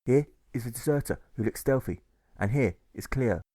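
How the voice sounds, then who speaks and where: A man reading a rhyming audiobook story in a rhythmic, deliberate, theatrical manner. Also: mid-range voice, expressive, dark tone, no echo, clean studio sound. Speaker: author reading own audiobook. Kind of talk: rhyming scripted narration.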